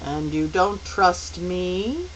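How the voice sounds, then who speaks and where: A woman's voice: fairly low pitch, animated, drawn-out words, heavily acted, low-quality recording. A woman voicing a cold, sly man in a chair who speaks blandly and taunts children.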